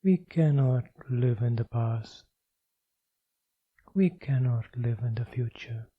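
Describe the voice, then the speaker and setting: A man leading a guided meditation as a teacher, speaking calmly and in measured short phrases with long pauses. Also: mid-range voice, husky, dry recording, muffled recording.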